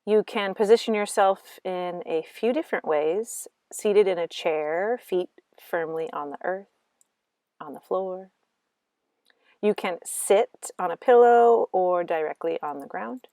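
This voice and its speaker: A woman guiding a meditation in slow, gentle instructions with long pauses between phrases. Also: lowish voice, no echo. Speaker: meditation guide. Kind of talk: guided meditation narration.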